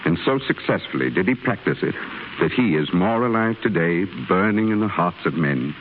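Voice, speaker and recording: A kindly older stonecutter in a 1930s radio drama. He speaks with a very low, lively voice, gently preaching like a father. The sound is a thin, phone-like, noisy old broadcast. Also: moderate pace. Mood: earnest. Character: older male stonecutter, kindly earnest mentor.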